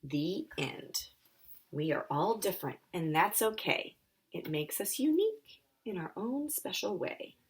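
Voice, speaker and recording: A woman, an author, reading her children's picture book aloud and talking it through for a young audience, with expressive delivery. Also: fairly low pitch, measured pace, a little hiss or hum. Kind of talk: live children's story time reading and friendly explanation.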